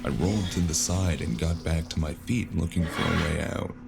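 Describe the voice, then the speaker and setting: A man with a gravelly voice narrating a scripted first-person story in a gritty, dramatic way. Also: low voice, steady tone, brisk. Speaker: narrator of a cyberpunk audio drama. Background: loud music.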